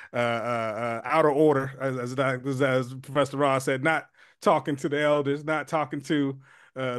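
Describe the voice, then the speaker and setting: A man's voice: medium pitch, no room sound, studio quality. A man in his forties, a community leader, answering as an interview guest in an earnest, reflective way with many fillers and restarts. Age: 40s.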